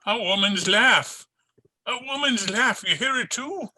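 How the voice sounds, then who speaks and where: An actor playing a distraught older police sergeant in an old-time radio drama, halting and repeating himself in grief. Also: male voice, high-pitched, animated, pushed and bright, heavily acted. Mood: shaken. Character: anguished, shaken older Irish police sergeant, grieving uncle.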